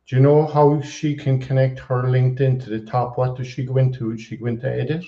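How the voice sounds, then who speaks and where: A man's voice: medium pitch, little pitch movement, fairly quick, warm tone. A man hosting an online show, easygoing and repeating himself as he guides a guest. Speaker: show host. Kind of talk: casual online show chat. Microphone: computer mic on video call. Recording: roomy, dull lo-fi call audio.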